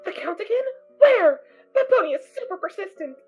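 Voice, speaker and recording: A woman voicing a cheerful, naive little girl pony foal who talks in a bubbly, excitable way. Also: extremely high pitch, a little fast, highs cut off, soft background music. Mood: cautious. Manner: bubbly, sing-song, excitable.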